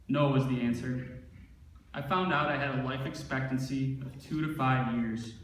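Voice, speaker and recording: Echoey, distant man giving a speech. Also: mid-range voice. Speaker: speaker reading his brother's acceptance speech. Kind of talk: prepared speech read aloud at an award ceremony. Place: large echoing event room at an award ceremony. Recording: very echoey, far from mic, faint noise.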